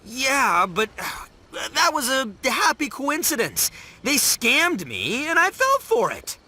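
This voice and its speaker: A man's voice: extremely high pitch, wildly swooping pitch, slow pace, sharp tone, a little hiss or hum, exaggerated voice. A man voicing a brash, dim but big-hearted young gang boss in a video game, bombastic and slangy. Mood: dejected. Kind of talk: animated video game voice acting.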